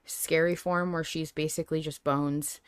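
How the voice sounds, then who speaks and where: Woman, co-host, slightly low pitch, clean resonant tone, casual discussion, exclamatory, sweary. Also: normal speed, clean tone. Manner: animated, exclamatory, freely swearing. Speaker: podcast co-host.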